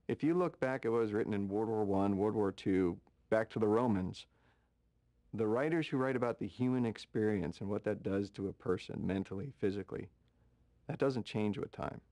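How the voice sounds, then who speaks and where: A man speaking gravely and deliberately in a reflective documentary interview. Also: on the low side, warm tone, dry recording.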